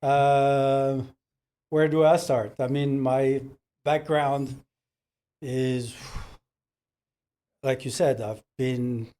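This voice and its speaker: An older man from Morocco whose first language is French, telling his life story slowly as a podcast guest, with hesitant pauses and fillers. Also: mid-range voice, fairly even intonation, warm tone. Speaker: podcast guest, business owner. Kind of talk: relaxed interview storytelling.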